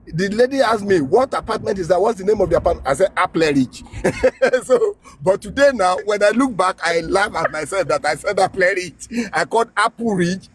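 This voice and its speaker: A man's voice: sits high, expressive, normal speed, slightly rough, low-quality recording. A Nigerian man telling a story casually about studying abroad, repeating phrases and laughing at himself. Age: adult graduate student. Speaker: guest.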